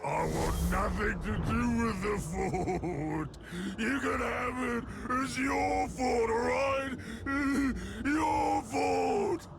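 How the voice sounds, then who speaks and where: A man playing a broken, raving orc in a video game, with a strained, gravelly voice. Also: very high voice, drawn-out words, pauses often, heavily acted. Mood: hysterical, pleading. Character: broken, raving male orc, anguished and unhinged. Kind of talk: dramatic video game creature acting.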